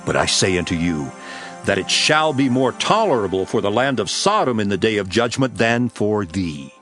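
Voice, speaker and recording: Jesus as a grave, gentle teacher, delivered in a lowish voice that rises sharply on exclamations. Pitch moves widely across phrases. Soft music plays underneath. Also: male voice, sing-song. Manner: solemn measured delivery, rising to intense exclamations. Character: Jesus, calm grown man teacher, solemn and gentle. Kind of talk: dramatized Bible reading. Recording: studio voice with music beneath.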